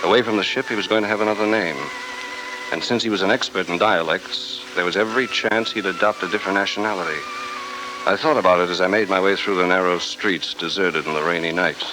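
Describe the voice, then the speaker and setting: An actor playing a cool, dry-witted investigator, deadpan and unhurried, in a 1940s radio drama. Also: male voice, deep voice, music in the background, close to an everyday voice. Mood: wry narration. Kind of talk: 1940s radio acting, first-person narration. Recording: very noisy old radio recording.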